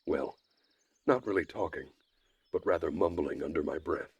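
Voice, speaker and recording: A man with a slightly rough voice narrating a first-person visual novel story in a measured, dry manner. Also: very deep voice, no echo, highs cut off. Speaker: streamer reading a visual novel aloud.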